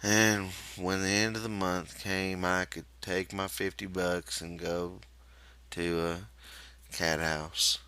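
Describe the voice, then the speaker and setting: A man playing a weary, curt, resigned ranch hand with an American accent and a flat, quiet delivery. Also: low-pitched, slow, clear, resonant tone, no room sound, heavily acted. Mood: monotone reciting.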